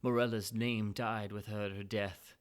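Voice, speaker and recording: A man giving a dramatic reading of gothic fiction, swinging between hushed, brooding passages and impassioned outbursts. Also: fairly low pitch, level tone, moderate pace, smooth. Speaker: reader and narrator.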